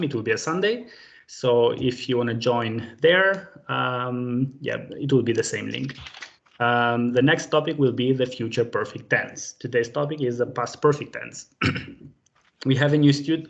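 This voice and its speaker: A man leading a live online group language lesson over a video call, patient and friendly, with many fillers. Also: medium pitch. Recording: dull lo-fi call audio.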